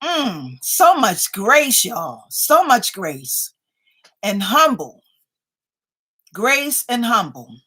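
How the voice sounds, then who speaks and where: A woman, hosting a relaxed livestream chat. Her voice sits a little high and she takes her time, drawing out her words as she teases and praises her viewers. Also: extremely slow, pauses often, breathy edge. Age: 50s. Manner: playful, chatty, keeps addressing viewers as y'all. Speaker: livestream host. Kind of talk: casual live chat reading viewer comments.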